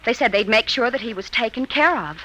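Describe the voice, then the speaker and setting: A woman's voice: fairly high pitch, animated, brisk, close to an everyday voice. A woman playing a helpful, slightly flustered hospital nurse in an old radio drama. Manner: polite, flustered. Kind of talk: 1950s radio drama acting. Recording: noisy vintage radio recording.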